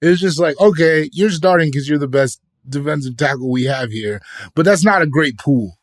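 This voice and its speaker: A man's voice: a little high, normal speed, dry recording, studio quality. A man co-hosting a podcast, trading casual comedic banter in a joking, informal way. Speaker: podcast host.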